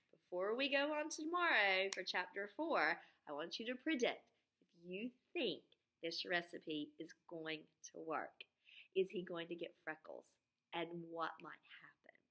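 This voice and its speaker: A woman reads aloud as an elementary teacher would. Her voice is bright and sits at a medium pitch. She is animated and emphatic, stretching important words and turning to young listeners with questions. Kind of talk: expressive children's read-aloud with questions to pupils.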